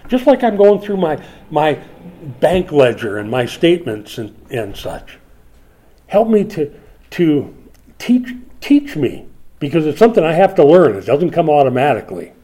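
A man's voice: a little high, very animated, normal speed, warm tone. A man in his sixties preaching a sermon, reflective, rising with emphasis when he reads scripture. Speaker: pastor.

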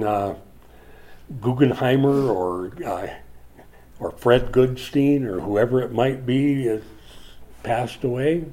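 Older guy preaching slow, mellow dark tone, gets loud on the bible verses. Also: medium pitch. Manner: slow, reflective preaching with emphatic rises on scripture. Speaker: pastor. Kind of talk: sermon.

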